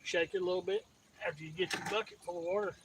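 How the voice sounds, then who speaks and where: A man's voice: high voice, fairly even intonation, very fast. A man in his fifties, a prospecting buddy talking in a laid-back, plainspoken way outdoors. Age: 50s. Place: outdoors by a creek. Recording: distant voice over creek noise.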